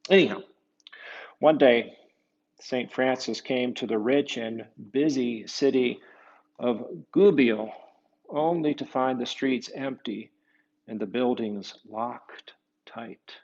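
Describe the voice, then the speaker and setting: A man reads a picture book to children at an unhurried pace, with a gravelly edge to his voice. He pauses for casual, musing asides about the pictures. The sound is a little dull and lo-fi. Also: medium pitch, darkish tone. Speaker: priest reading a children's picture book aloud. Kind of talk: storybook read-aloud with casual asides to children.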